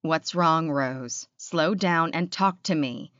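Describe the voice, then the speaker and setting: A woman voicing a calm, protective man in an audiobook, steady and reassuring. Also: deep voice, slow, no room sound, muffled recording. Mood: calm, concerned. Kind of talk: audiobook character acting.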